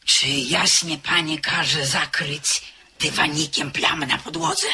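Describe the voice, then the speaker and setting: An actress in a radio drama playing a spooked servant woman with a husky voice and a dramatic delivery. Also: sits low, steady tone, slow, pushed and bright, heavily acted. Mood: deferential. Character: spooked female servant recounting an eerie apparition.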